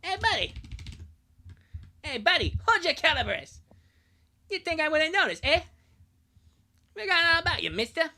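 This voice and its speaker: A streamer voicing an indignant, officious Canadian park officer who scolds loudly in a Canadian accent. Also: very high voice, very animated, moderate pace, long pauses, voice pushed up, exaggerated voice.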